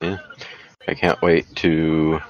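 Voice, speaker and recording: Man, low-pitched voice, podcast co-host, casual banter. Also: completely flat delivery, takes it slowly, muffled recording. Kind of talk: casual banter about films and trailers.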